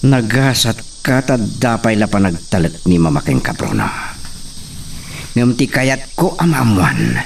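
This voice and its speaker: Casting: male actor as young wealthy master, confrontational, very animated delivery, heard a bit distant over noise. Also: lowish voice, a little slow, creaky, exaggerated voice. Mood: stern, suspicious. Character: angry, threatening young rich master. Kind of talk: Ilocano radio-style audio drama acting.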